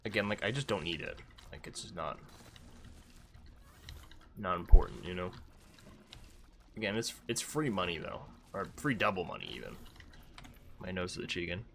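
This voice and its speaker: Man, congested voice, very low pitch, lively swings in pitch, rambling game streamer, self-mocking. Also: moderate pace, creaky, pauses often, slight background noise. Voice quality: congested, stuffy-nosed. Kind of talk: casual gameplay commentary.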